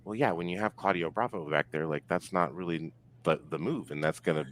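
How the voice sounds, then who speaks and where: A man's voice: deep voice, a little fast, fairly bright tone, no echo. A man giving opinions in a casual sports discussion, very informal and peppered with filler words. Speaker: podcast guest.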